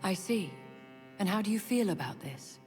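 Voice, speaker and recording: A woman's voice: mid-range voice, animated, normal speed, a little mellow, lightly acted. An actress playing a wry, shrewd and affectionate aristocratic lady in a game, speaking with elegant formality. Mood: concerned, shrewd. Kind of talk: dramatic game dialogue. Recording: long reverberant tail, music behind.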